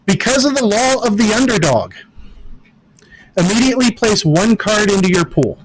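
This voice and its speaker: High-voiced man running a tabletop game. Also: big pitch swings, moderate pace, slight background noise, poor audio. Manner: methodical, explains rules patiently. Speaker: game master.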